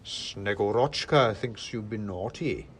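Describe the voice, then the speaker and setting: Storybook Father Christmas played by a male reader: big, mocking, jolly with menace, unhurried. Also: fairly low pitch, fairly bright tone, slight background noise. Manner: mocking, deliberate. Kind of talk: storybook character voice.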